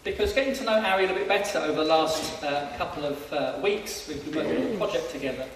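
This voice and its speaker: Male interviewer, fairly high voice, informal and a little hesitant, picked up from afar in a reverberant hall. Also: lively intonation, quick pace. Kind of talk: informal live church interview. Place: large church hall, indoors. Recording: echoey church hall, distant mic, faint noise.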